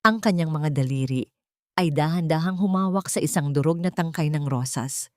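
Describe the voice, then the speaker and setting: A woman's voice: sits low, moderate pace, dry recording, clean studio sound. A woman reading a dramatic scripted story as an audiobook narrator.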